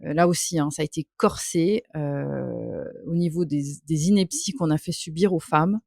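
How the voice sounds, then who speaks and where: A woman's voice: sits low, normal speed, vocal fry, darkish tone, dry recording, studio quality. A woman hosting an interview, speaking with many hesitant fillers and an empathetic tone. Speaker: podcast host. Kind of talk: interview via video call. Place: hot home office.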